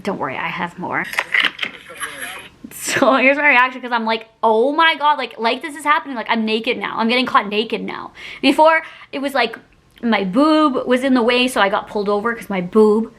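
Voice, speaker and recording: Bright-voiced woman telling a casual story. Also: on the high side, lively intonation, moderate pace. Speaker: vlogger. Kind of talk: casual storytime chat to camera.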